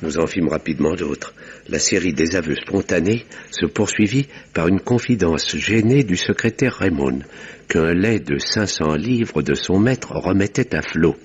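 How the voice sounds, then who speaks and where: An actor playing a composed, wry village doctor who narrates a 1950s-style French radio mystery in measured, literary, husky tones. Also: male voice, deep voice, animated, exaggerated voice. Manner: measured, dryly ironic. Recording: dull vintage radio sound.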